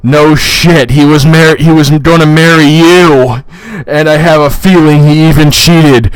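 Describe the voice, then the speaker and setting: Sarcastic man, slow-paced and dry-sounding. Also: a little high, fairly even intonation, clear, resonant tone, no echo, rough recording. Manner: sarcastic, mocking, profane. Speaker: comedic reader. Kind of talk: dramatic comedic reading with sarcastic asides.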